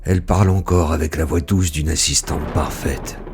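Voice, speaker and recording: A man playing a brooding, barely human narrator, speaking in a slow, pensive and introspective way in a scripted audio drama. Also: extremely low pitch, fairly even intonation, darkish tone, slight background noise. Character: brooding male being, human only for seven seconds.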